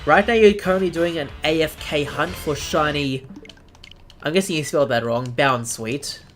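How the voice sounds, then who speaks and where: An Australian man hosting a live stream, chatting casually with viewers and often pausing to search for the right word. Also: a little high, fairly bright tone, soft background music. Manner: chatty, searches for words, self-deprecating.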